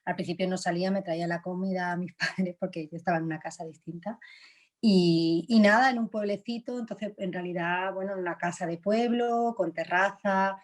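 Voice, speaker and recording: A woman's voice: fairly low pitch, fairly even intonation, fairly quick. Chatty woman. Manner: reflective, laughs often, frequent fillers. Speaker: actress, interview guest. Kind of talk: relaxed conversational interview answers.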